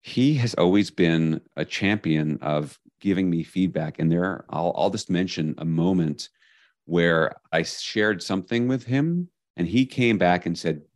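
Deep-voiced man, energetic interview guest. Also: measured pace, no echo, clean studio sound. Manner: energetic, emphatic storyteller. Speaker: podcast guest, leadership author. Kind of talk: casual interview talk.

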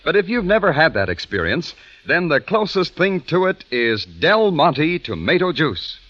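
A man's voice: on the high side, big pitch swings, moderate pace, fairly bright tone, no room sound. A male announcer reading a hearty, salesy ad script. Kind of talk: scripted ad read. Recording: dull, dry old radio recording.

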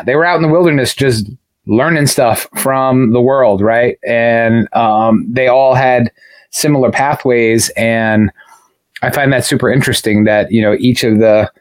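A man of medium pitch chats as a podcast co-host, with very little room sound. He rambles discursively and casually, checking that others follow. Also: dry recording.

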